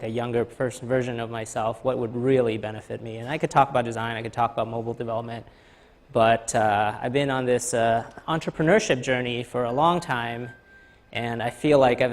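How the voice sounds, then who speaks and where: A man from Thailand in his thirties giving a conference talk in a lecture hall, halting with many fillers and restarts. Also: medium pitch. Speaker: guest speaker.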